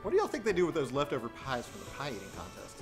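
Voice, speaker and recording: A man's voice: medium pitch, huge pitch swings, rapid-fire, creaky, soft background music. An actor playing a grumbling male fairgoer in a scripted comedy sketch, wisecracking.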